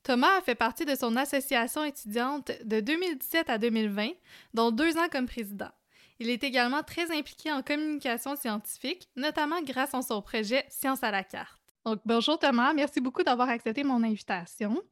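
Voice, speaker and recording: A woman's voice: high voice, fairly quick, a bit bright. Woman hosting a podcast. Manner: scripted, polished introductions and questions. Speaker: podcast host. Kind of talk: interview podcast.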